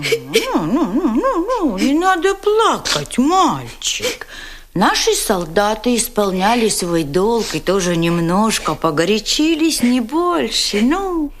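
A cunning female interrogator whose sugary voice swoops up and down as she coaxes. She talks slowly and deliberately. Every kind word is false. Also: mid-range voice, slight background noise. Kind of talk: radio drama acting.

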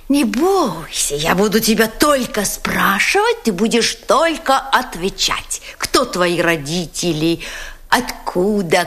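Wartime drama interrogator: German woman, falsely tender, theatrical, unhurried delivery, very animated intonation. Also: mid-range voice, heavily acted. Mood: coaxing, falsely tender. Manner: syrupy, drawn-out, theatrical phrasing with repeated soft words. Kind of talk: dramatic acting.

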